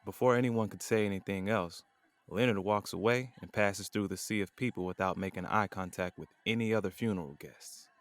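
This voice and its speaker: A man narrating an audio drama with measured, descriptive delivery. His voice is on the low side. The recording is dry, with no room sound. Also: dry recording.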